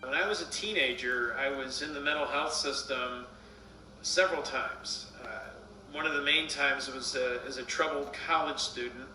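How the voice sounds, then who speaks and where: A man's voice: mid-range voice, moderate pace, pushed and bright. Man giving testimony in a roomy space. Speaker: mental health advocate with lived experience. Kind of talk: personal testimony, unscripted. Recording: roomy, distant, music underneath.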